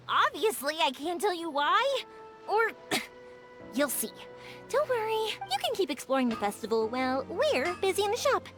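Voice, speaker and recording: A woman playing a friendly, upbeat young woman shopkeeper in an English video game, bubbly and expressive. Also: extremely high pitch, measured pace, soft background music, full character voice. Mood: playful.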